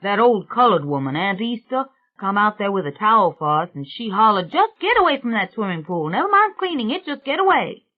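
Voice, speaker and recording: A woman's voice: medium pitch, lively intonation, brisk, hardly any pauses, narrow, tinny audio, voice pushed up, natural voice. A woman voicing a shaken young male laborer in an audiobook, in a colloquial, run-on telling. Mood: shaken, urgent.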